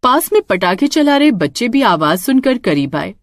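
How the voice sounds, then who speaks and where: A woman's voice: a little high, big pitch swings, moderate pace, no room sound, studio quality. A woman storyteller reading a scripted tale in a dramatic narrating style.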